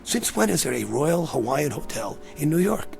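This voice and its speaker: An actor playing a brash, rattled, sarcastic man in a radio drama, with a gravelly voice and stammering wisecracks. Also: on the high side, big pitch swings, normal speed, a little mellow, soft background music. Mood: incredulous. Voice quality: gravelly, creaky. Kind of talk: naturalistic radio drama acting.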